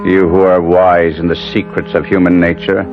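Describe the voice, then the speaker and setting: Casting: male actor for a haughty, tortured young aristocrat, low-pitched, literary radio-play monologue, muffled vintage recording. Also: level tone, moderate pace, natural voice. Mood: anguished, pleading. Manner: brooding, theatrical, literary delivery. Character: proud, tormented young Genoese nobleman recounting his past. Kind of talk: 1970s radio drama narration. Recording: dull old radio recording, loud music behind.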